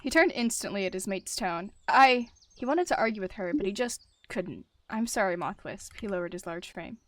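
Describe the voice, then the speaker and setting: A woman's voice: medium pitch, expressive, fairly quick. A woman narrating a story roleplay in the third person.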